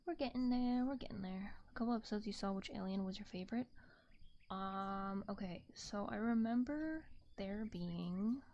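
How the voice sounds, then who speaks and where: A woman streamer chatting casually in a soft, breathy, often whispery ASMR style, gently rambling close to a binaural microphone. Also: medium pitch, moderate pace, highs cut off. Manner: gentle, rambling, reading chat aloud.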